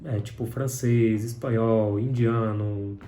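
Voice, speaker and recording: A man's voice: on the low side, steady tone, slow, dark tone, a little hiss or hum. A young man from Brazil with a Brazilian Portuguese accent, talking casually to camera in a candid, conversational way with lots of filler tags. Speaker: vlogger.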